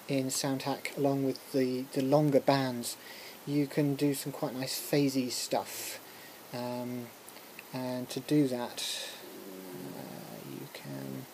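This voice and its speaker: A lecturer giving an informal software tutorial, talking through a demonstration hesitantly with muttered asides and interruptions to themselves. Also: medium pitch. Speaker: music technology lecturer. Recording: clearly noisy recording with room ambience.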